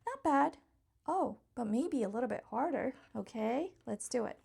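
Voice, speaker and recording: A woman's voice: a little high, expressive, moderate pace, gravelly, a little mellow, slightly rough. A woman doing an interactive picture book read-aloud for young children, coaxing and praising them in a playful way. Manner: encouraging, coaxing, addressing small children directly; playful, exclamatory reactions.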